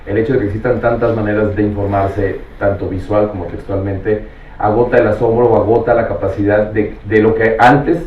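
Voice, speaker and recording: Low-voiced male moderator in echoey room. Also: little pitch movement. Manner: halting, circles back to rephrase. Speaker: panel moderator. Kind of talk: spontaneous round-table moderation. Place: roomy panel venue. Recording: echoey, distant mic, dull, faintly noisy.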